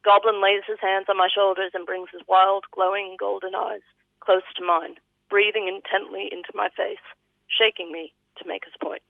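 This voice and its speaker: A woman's voice: mid-range voice, little pitch movement, moderate pace, creaky, no room sound. A woman with an Australian accent reading a personal eulogy as vivid literary storytelling. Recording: thin phone-line sound.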